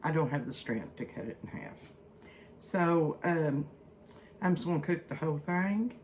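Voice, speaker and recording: An older woman with an Eastern Kentucky accent chats casually and haltingly to viewers in folksy, plain words, recorded at home on a phone. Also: low voice, expressive, darkish tone. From Eastern Kentucky, USA. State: feeling weak and unwell. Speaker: home cooking vlogger. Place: at home, indoors in a kitchen. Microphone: iPhone, no separate microphone. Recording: faint background noise, phone built-in microphone.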